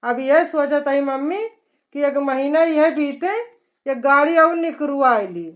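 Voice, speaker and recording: A performer voicing an eager, bragging young village son-in-law in an Awadhi cartoon comedy, pleading and respectful, in a pitched-up voice. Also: slow. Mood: proud, inviting. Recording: dull lo-fi cartoon soundtrack.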